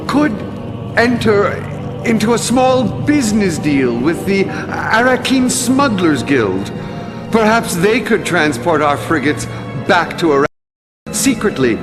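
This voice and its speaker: High, swooping, scheming henchman. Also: sing-song, takes it slowly, full character voice. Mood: sly, pleading. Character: sly, menacing male Mentat advisor, servile to his lord. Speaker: scheming advisor to a villainous baron. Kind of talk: dramatic video game cutscene acting. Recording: long reverb, loud music underneath.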